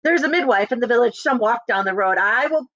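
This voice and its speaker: A woman's voice: a little high, animated, a little fast, fairly bright tone, dry recording, lo-fi sound, pitched above the performer's own voice. A woman reading the part of a practical, polite, plain-spoken medieval woman innkeeper in a storybook. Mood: firm, frank.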